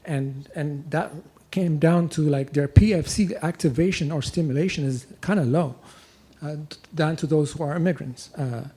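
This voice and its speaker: A young man from Ethiopia asking a long question at a lecture, halting and full of restarts. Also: on the high side, mellow, husky. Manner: halting, many fillers and restarts. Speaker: audience questioner. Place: lecture hall.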